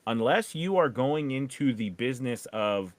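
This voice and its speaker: A man coaching in a conversational live discussion, explaining in a halting way with repeated words. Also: medium pitch, lively intonation. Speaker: voiceover coach and co-host.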